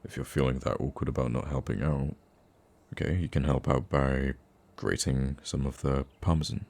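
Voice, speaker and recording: A man playing a gruff, teasing supervillain with a secret soft side in an intimate ASMR roleplay, dry and grumbling but affectionate. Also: fairly even intonation, moderate pace, heavily acted. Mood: soft, amused. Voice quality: very deep, gravelly.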